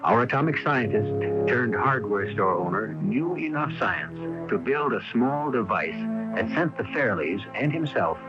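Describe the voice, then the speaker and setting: A man's voice: medium pitch, animated, measured pace. A man narrating a vintage radio mystery drama as its host, in a scripted style. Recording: dull old radio broadcast, music underneath. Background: loud music.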